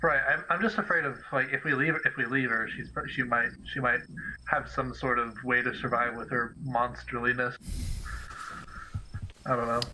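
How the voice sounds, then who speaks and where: A man's voice: mid-range voice, steady tone, moderate pace, pushed and bright. A man chatting casually as a tabletop game player, tentative, repeating and restarting his phrases. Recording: clearly noisy microphone with clicks.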